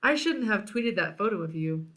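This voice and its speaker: Woman voicing an excitable little boy bird, lively pitch. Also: normal speed, lightly acted. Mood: apologetic. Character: small eager self-absorbed male cartoon bird.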